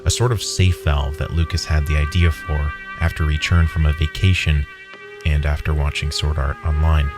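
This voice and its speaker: A man with an extremely low voice narrates a creepy first-person tale. His delivery is measured and dramatic, and loud music plays underneath him throughout. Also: little pitch movement. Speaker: story narrator.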